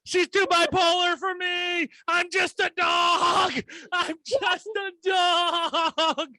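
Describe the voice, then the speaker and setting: A man voicing a confused, timid male dog who speaks slowly and plaintively. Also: very high voice, level tone, no room sound, voice pushed up, full character voice. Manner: slow, loud, plaintive whining.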